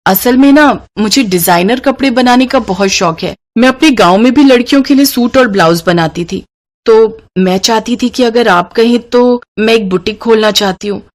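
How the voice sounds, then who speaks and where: A woman's voice: on the high side, moderate pace, voice pushed up, lightly acted. A woman voicing a meek, hopeful young daughter-in-law in a cartoon moral story, with an earnest, deferential way of talking. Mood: pleading, hopeful.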